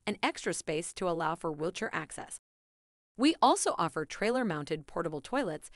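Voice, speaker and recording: A woman's voice: lowish voice, no room sound, clean studio sound. A woman reading a scripted promotional narration as an advertising presenter, with polished, evenly phrased delivery.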